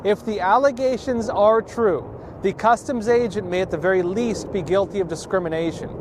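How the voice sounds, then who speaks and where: A male news reporter narrating and asking questions in a formal, measured way. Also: sits high, noisy background. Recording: news report with clear music under.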